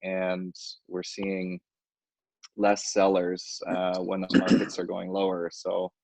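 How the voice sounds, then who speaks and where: Low flat-voiced man on a call. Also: gravelly, no echo. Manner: halting, many fillers and restarts. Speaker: financial advisor. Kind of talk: conference call market update. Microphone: phone or conference line. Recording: dull lo-fi conference call audio.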